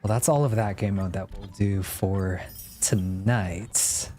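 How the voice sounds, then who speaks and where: A man's voice: sits low, fairly even intonation, takes it slowly, warm tone. A man streaming a video game with casual live commentary, tossing out exclamations and muttering as he reads along. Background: faint game music.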